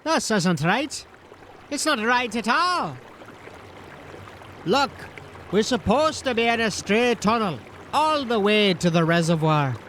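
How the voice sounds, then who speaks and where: Very high, anxious tunnel guide. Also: male voice, lively intonation, slow, pauses often, fairly bright tone, slight background noise, heavily acted. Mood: bewildered. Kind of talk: fantasy audio drama acting.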